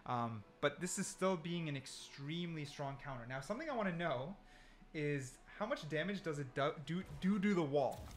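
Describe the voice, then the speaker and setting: A man's voice: a little high, expressive, normal speed. A man giving casual, slangy gameplay commentary, thinking aloud as he goes. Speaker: gaming content creator.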